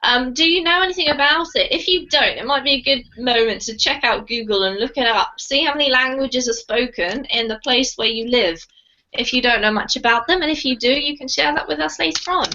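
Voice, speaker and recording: A woman with a high, bright voice leads a live online English lesson in a friendly, chatty way. She speaks over a video call, and the sound comes through dull and lo-fi. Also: brisk. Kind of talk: live online group class. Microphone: computer mic on a video call.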